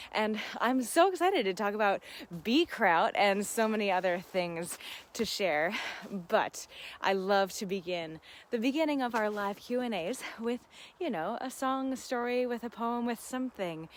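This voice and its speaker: A woman's voice: mid-range voice, slight background noise. A woman hosting a casual live Q and A, chatting earnestly and reflectively to listeners as friends, often with laughter in her talk. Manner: laughs often while talking. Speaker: host of a live Q and A. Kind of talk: casual livestream chat with reflective asides.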